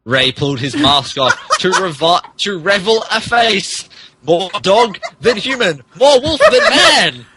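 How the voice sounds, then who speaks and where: A man's voice: sits high, sing-song, unhurried, background noise. A man narrating a comic dramatic reading of fan fiction on a podcast.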